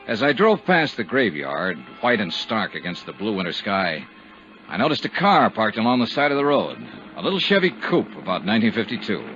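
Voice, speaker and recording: Hard-boiled investigator, matter-of-fact, sharp forceful voice, 1950s radio drama, dull recording with music. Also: male voice, on the low side, very animated, a little fast. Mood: dry narration. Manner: clipped, dry, matter-of-fact. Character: brisk, hard-boiled insurance investigator narrating his case.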